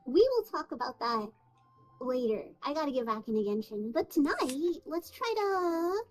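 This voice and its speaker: A woman hosting a livestream, chatting in a relaxed, easygoing way with fillers. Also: high-pitched, moderate pace. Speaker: streamer host. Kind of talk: casual stream chat.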